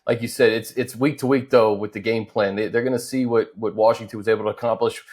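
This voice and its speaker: A man hosting an unscripted football discussion, recapping points conversationally with hesitant pauses between phrases. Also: lowish voice, fairly even intonation, darkish tone, breathy edge. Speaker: talk show host.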